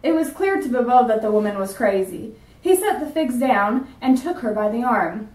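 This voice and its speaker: A woman teacher reading a picture book aloud in a clear, measured storytelling manner. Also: fairly high pitch, lively intonation, darkish tone. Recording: roomy sound from a distance.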